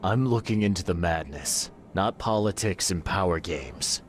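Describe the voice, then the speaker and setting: A man's voice: low voice, expressive, unhurried. A man playing a terse, world-weary private detective in a video game, dry and guarded in manner. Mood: terse, businesslike. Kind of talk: video game cutscene acting.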